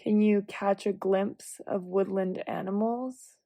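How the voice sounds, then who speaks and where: Slow, mellow-voiced woman guiding meditation. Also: mid-range voice, no echo. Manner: calm, gentle, measured cueing with long pauses. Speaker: guided meditation leader. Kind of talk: guided visualization meditation, instructional.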